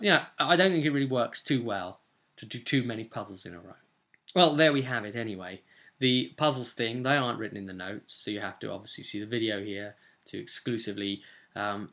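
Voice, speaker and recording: Bright-voiced young British man, chatting casually. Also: fairly low pitch, gravelly. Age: 20s. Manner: rambling, conversational, with tag questions. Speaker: game master recapping sessions as vlogger. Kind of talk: casual chat to camera. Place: small indoor room at home.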